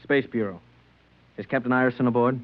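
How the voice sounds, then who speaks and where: A man's voice: medium pitch, steady tone, brisk, natural voice. Man as businesslike government agent, terse, official, 1950s sci-fi radio drama, phone-thin audio. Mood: brisk, probing. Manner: clipped, official, unforthcoming. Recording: tinny vintage radio broadcast.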